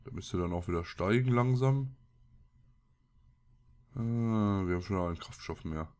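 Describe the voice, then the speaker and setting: A man's voice: low-pitched, measured pace, a little mellow, clean tone. A man with a cold and a scratchy throat, giving casual, colloquial gameplay commentary as he mutters to himself. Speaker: let's play host.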